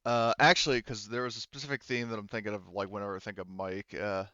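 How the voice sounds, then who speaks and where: A man with a smooth, clear voice runs a tabletop game in conversational style. He explains and qualifies as he goes, informal throughout. The track is dry and a little dull. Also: lowish voice, fairly even intonation, fast, bright, forceful tone, no room sound. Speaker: game master. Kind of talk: casual tabletop game narration.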